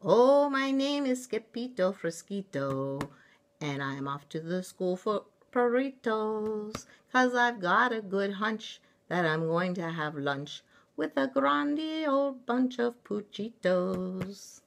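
A woman voicing an excitable, playful young kitten boy in a storybook, with theatrical exclamations. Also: lowish voice, moderate pace, hardly any pauses, slightly rough, pitched above the performer's own voice, full character voice. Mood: singsong. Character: excitable young Siamese kitten boy playing a chihuahua hero.

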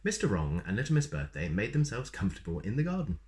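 Expressive man with a very low voice. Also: fast. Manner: expressive, playful. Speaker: storyteller reading a children's picture book. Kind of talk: animated read-aloud with asides to young listeners. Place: small plain room indoors.

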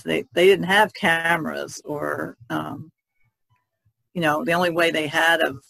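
A woman's voice: low-pitched, vocal fry, a bit bright, dry recording, poor audio. A woman podcast panelist chatting casually in a conversation. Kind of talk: casual chat.

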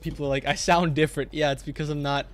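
A man's voice: a little high, fairly even intonation, fast talker, soft background music. A young man streaming from his home gaming room, chatting casually, laughing often and thanking donors. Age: young adult. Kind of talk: casual chat while gaming.

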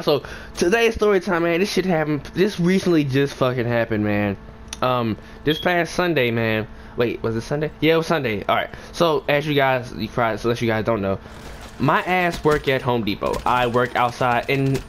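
A young man telling a casual, rambling story for a gaming video, swearing often in slangy speech and getting emphatic when indignant. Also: mid-range voice. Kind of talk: casual storytime over gameplay. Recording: clear background noise and ambience.

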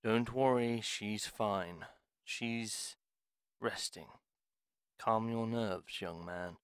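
A man playing a gruff, wary retired pharmacist in a fantasy audio roleplay, speaking in a formal, deliberate, theatrical way. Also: lowish voice, a bit bright, dry recording, studio quality, exaggerated voice. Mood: calm, courteous. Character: gruff, reluctant retired male pharmacist, courteous but wary. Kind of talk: dramatic audio roleplay monologue.

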